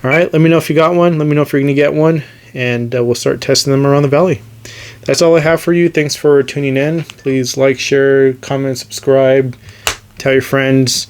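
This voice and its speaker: A man's voice: medium pitch, steady tone, normal speed, a little hiss or hum. An American man hosting a casual, unscripted hobby review video, rambling and hesitant as he thinks aloud. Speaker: radio hobbyist video host.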